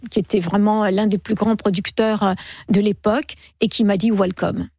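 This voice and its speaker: A woman in her sixties, a singer guest on a radio show, telling stories in a lively, chatty way. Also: mid-range voice, normal speed, vocal fry, dry recording. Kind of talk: casual radio interview. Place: radio studio. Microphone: studio microphone.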